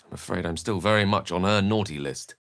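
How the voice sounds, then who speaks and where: An actor playing an eloquent, courteous and wry wizard in a fantasy video game, with a British accent and articulate, theatrical phrasing. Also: male voice, sits low, fairly quick, gravelly, fairly bright tone, dry recording, studio quality. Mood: wry, genial. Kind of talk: dramatic fantasy game dialogue.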